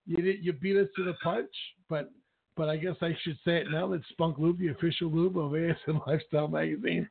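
Brief: male radio host, raspy and husky, joking banter, narrow lo-fi sound. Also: on the high side, fairly even intonation, quick pace. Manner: rambling, joking, laughs often. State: hoarse from illness. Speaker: radio talk show host. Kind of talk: casual banter.

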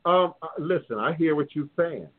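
A man's voice: a little high, animated, moderate pace, husky. A man with a New Orleans accent, hosting a live call-in talk show as a voodoo priest, speaking emphatically and repeating phrases for stress. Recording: lo-fi phone-line sound.

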